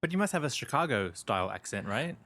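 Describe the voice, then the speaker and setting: A man's voice: mid-range voice, big pitch swings, fast, bright, forceful tone. A man from Sydney with a mild Australian accent, co-hosting a podcast in casual back-and-forth banter. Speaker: podcast co-host.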